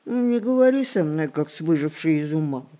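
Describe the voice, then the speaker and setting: An actress playing a spirited, rebellious centenarian matriarch, romantic and commanding, in a theatrical radio play style. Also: female voice, deep voice, animated, fairly quick, warm tone. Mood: brisk, urging. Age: older.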